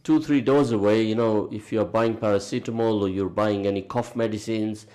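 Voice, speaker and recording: A man's voice: on the low side, fairly even intonation, normal speed, a little mellow. A man hosting a radio interview, chatty and emphatic, often exclaiming in disbelief. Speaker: radio presenter.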